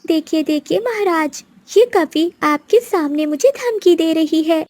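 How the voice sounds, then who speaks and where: A woman's voice: very high-pitched, measured pace, darkish tone, no echo, voice pushed up. A woman voicing a scheming, vengeful female bird with an accusing, dramatic manner in a moral-story cartoon. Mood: accusing, alarmed. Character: vengeful, scheming female bird plotting against wicked crows.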